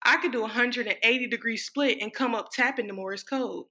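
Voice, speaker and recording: A woman's voice: on the high side, a little fast, pushed and bright. A woman playing a brash, wisecracking young dancer from a small town in a sassy, sardonic stage monologue. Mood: wry, boastful. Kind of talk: dramatic stage monologue reading.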